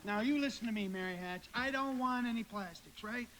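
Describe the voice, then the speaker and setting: Brief: stubborn young American suitor, high voice, hesitant and stammering, noisy live radio-play recording. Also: male voice, brisk, a bit bright. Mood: agitated. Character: flustered, stubborn young man dodging courtship.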